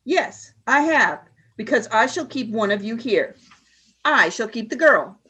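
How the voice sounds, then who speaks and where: A woman voicing a cold, commanding female alien leader in a fan radio play, giving flat, clipped orders. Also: fairly high pitch, a little slow, breathy edge, heavily acted.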